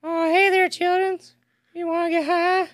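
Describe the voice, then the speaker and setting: A man voicing a laid-back, stoned talking towel from a cartoon in a high, goofy drawl. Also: level tone, normal speed, fairly bright tone, no room sound. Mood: stoned, friendly.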